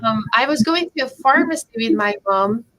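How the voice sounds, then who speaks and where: A woman's voice: on the high side, expressive, normal speed, a bit bright, slight background noise, low-quality recording. A woman, a professor giving a friendly chemistry talk to children over a video call and checking in with them often. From Hungary. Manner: encouraging, checks in often with the kids. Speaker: professor teaching a kids' chemistry camp.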